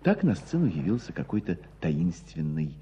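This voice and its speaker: An actor playing a wry storyteller narrating a radio drama in a husky voice. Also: male voice, low voice, wildly swooping pitch, moderate pace, warm tone, slight background noise. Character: wry storyteller recounting a provincial dispute. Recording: dull radio drama recording.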